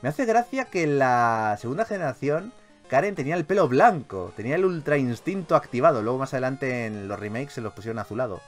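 Animated man from Spain, game commentary. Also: mid-range voice, a little fast, faint music behind. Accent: Spanish from Spain. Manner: animated, swears freely. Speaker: gaming video host. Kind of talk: casual gameplay commentary.

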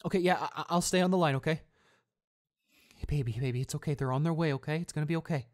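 A man's voice: a little high, close to an everyday voice. A man acting a tender, anxious young boyfriend. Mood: anxious, urgent. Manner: tender, halting, repeating himself in reassurance. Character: devoted, anxious young boyfriend comforting his hurt girlfriend. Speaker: voice actor. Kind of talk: intimate boyfriend audio roleplay.

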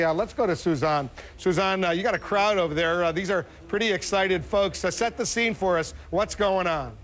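A man anchoring live election night coverage on television, speaking emphatically. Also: on the high side. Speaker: news anchor. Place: television news studio.

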